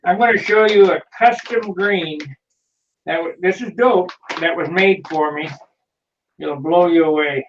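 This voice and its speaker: A man with a somewhat high voice chats casually on a video call. He sits away from the microphone, so his voice comes through distant and dull, lo-fi. He talks as he handles his gear. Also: unhurried. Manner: chatty, fumbles with a can while talking. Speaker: hobbyist showing his paint.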